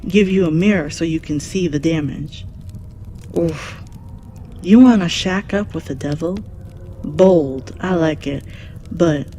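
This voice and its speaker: Slow, mellow-voiced devil, sly and teasing played by a woman. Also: fairly low pitch, a little hiss or hum, close to an everyday voice. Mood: taunting, amused. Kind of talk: scripted audio roleplay.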